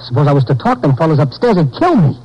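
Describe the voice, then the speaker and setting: A scared small-time American crook in an old radio play. He talks fast in a husky voice, stammering and pleading, with bad grammar. The sound is muffled like a 1950s broadcast. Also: a little high, big pitch swings, darkish tone. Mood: anxious, pleading. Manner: stammering, halting, ungrammatical. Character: nervous, pleading small-time male convict informant.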